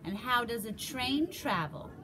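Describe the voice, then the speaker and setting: Woman as teasing rodent kids, higher-pitched. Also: lively intonation, unhurried, noisy background. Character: teasing, knowing young rodent classmates. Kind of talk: storybook character voice.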